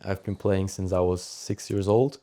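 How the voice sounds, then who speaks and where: A man's voice: deep voice, steady tone, moderate pace, mellow. A young man from Austria chatting casually as a podcast guest. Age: teens to young adult. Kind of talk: casual interview chat.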